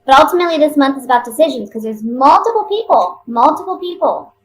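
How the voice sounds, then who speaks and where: A woman giving a casual, improvised tarot reading to viewers, talking conversationally and thinking aloud with pauses and repeats. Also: high-pitched, expressive, rough recording. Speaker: tarot card reader.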